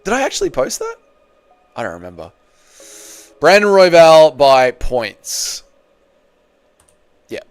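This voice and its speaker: He is a man in his thirties with a fairly high, very clear voice. He talks like a streamer among mates, casual and blokey, often saying the same line again. There is music behind him. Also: wildly swooping pitch, takes it slowly, long pauses. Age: 30s. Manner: blokey, crude joking, repeats himself, addresses viewers as boys. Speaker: livestream host. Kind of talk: casual livestream chat about MMA, reading out viewer comments.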